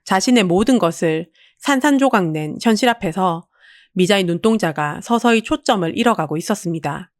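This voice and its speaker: A woman's voice: mid-range voice, animated, moderate pace, no echo, clean studio sound. A woman reading a dramatic scripted story as an audiobook narrator.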